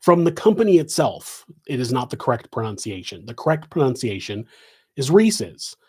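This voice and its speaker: A man's voice: mid-range voice, sing-song, moderate pace, breathy edge. Rambling West Coast American man. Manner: casual, digressive, with many fillers and restarts. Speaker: podcast host answering questions. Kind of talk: rambling live Q&A.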